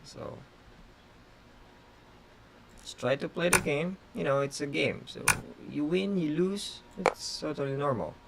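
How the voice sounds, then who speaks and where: A chess grandmaster whose first language is Tagalog, streaming blitz games and thinking aloud in short, halting phrases full of fillers. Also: male voice, on the high side, lively intonation, creaky, very noisy. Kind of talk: casual live-stream commentary.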